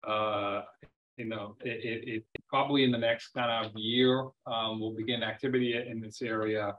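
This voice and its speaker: Male company chairman, lowish pitch, semi-formal unscripted investor presentation, frequent rewording and repeats, slightly dull conference-call sound. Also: level tone. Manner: halting, rephrases and repeats words often. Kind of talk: semi-formal business presentation, speaking off the cuff. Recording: dull, lo-fi web call audio.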